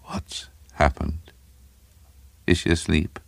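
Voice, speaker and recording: A man voicing a small, conceited, furtive madman in a story, with a low breathless mutter, a bubbling impediment and a halting, stammering delivery. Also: flat delivery, creaky, no room sound, exaggerated voice. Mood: breathless curiosity.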